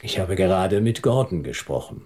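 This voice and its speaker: An actor in a German radio drama playing a determined, controlled man suspected of murder, questioning tersely in a husky, gravelly voice. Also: low-pitched, big pitch swings, moderate pace, a little mellow, exaggerated voice. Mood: quietly insistent.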